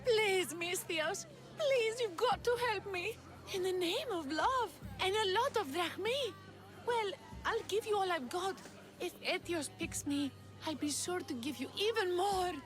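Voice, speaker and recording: A woman's voice: extremely high pitch, expressive, measured pace, faint music behind. A woman playing a naive, lovesick young woman in a video game, earnest and pleading. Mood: desperate, pleading. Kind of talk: dramatic video game acting.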